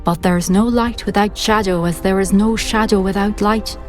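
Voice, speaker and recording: A woman playing the solemn, cryptic shadow of a witch in a game cutscene, speaking in a measured, formal way. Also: medium pitch, steady tone, soft background music, close to an everyday voice. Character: solemn witch, shadowy memory of her former self. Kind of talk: dramatic game cutscene monologue.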